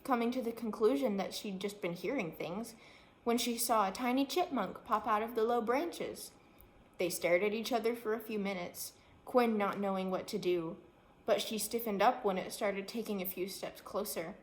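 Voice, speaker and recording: A woman's voice: mid-range voice, moderate pace, rough recording. A woman reading a children's story aloud as scripted narration. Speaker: story reader. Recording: faint background noise.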